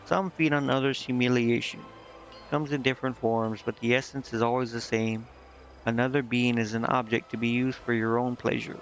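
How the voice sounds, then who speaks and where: Man, mid-pitched, casual game streamer, hesitant reader, slightly dull audio, music behind. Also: flat delivery, moderate pace. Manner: hesitant, stumbles over words while reading. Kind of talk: casual livestream commentary, reading game dialogue aloud.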